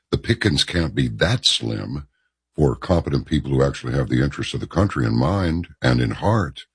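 Male host, very deep and raspy voice, sardonic, drawn-out delivery. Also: no echo, clean studio sound. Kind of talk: political talk interview.

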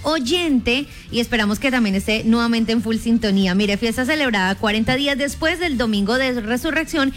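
A woman co-hosting a morning radio show, cheerful and quick to react. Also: fairly high pitch. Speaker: radio co-host.

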